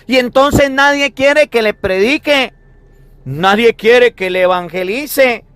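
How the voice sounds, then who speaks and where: High-pitched male preacher in echoey room. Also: slow pace, a bit bright, clear, resonant tone. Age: 30s. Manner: emphatic, repetitive, with rhetorical questions. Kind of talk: sermon on the Gospel.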